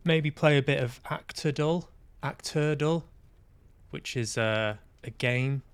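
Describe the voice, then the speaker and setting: A man's voice: a little high, slow pace, clear, resonant tone, no room sound. A man with an English accent hosting a casual livestream, rambling with dry, self-deprecating humour. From Manchester, England. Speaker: podcast host and streamer.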